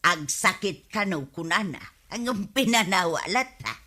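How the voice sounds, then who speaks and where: A woman playing a nagging, spendthrift village wife with a sharp, scolding delivery in an Ilocano comedy radio drama. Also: medium pitch, animated, a little slow. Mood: boastful.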